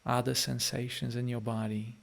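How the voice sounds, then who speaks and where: Man, Polish accent, meditation teacher, very gravelly voice, short direct phrases. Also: medium pitch, little pitch movement, normal speed. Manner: speaks in short phrases addressed straight to listeners. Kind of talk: guided meditation and live-stream chat.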